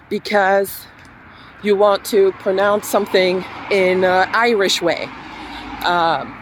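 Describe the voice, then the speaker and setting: Woman, English teacher, mixed French-British-American accent, slow, encouraging, faint street noise. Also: medium pitch, rough recording. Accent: mixed, French with British and American traces. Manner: slow, unhurried, encouraging, with many fillers. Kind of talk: casual spoken lesson to camera.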